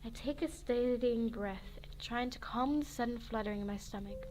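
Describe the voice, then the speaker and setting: A woman's voice: fairly high pitch, normal speed, a little mellow, slight background noise, poor audio. A young woman reading an interactive romance story game aloud, playful and giggly, breaking off for jokes and corrections. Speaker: game narrator. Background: faint music.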